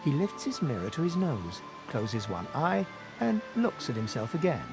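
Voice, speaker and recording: An actor narrating a fantasy game in deliberate, storybook phrases. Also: male voice, medium pitch, wildly swooping pitch, music in the background, low-quality recording. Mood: measured, observant. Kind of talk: scripted narration.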